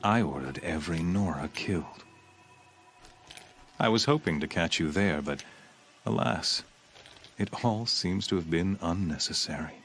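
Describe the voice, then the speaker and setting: A zealot cult villain from a video game, cold and pompous. His low voice moves slowly through gloating, theatrical pronouncements, with music clearly underneath. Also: animated, a bit bright, exaggerated voice. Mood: gloating menace. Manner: measured, theatrical, sermonizing.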